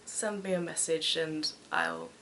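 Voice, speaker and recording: A Swedish woman in her twenties hosting a podcast in casual chat, speaking with a Swedish accent and pausing often to find her words. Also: sits low, sing-song, a bit bright, a little hiss or hum. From Sweden. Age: 20s. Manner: hesitant, searching for words. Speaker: podcast host.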